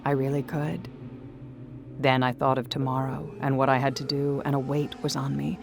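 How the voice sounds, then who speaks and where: A woman reading a first-person horror story in a hushed, dreamy way that slips into whispers. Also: extremely low pitch, flat delivery, moderate pace, creaky. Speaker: narrator. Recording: soft music behind.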